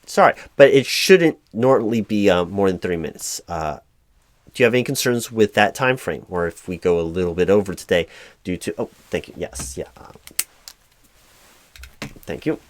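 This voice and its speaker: A man performing a job interview roleplay as a flustered, apologetic hiring manager, speaking formally in a halting monotone. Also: sits low. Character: mildly frustrated, apologetic male corporate hiring manager. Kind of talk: one-sided job interview audio roleplay.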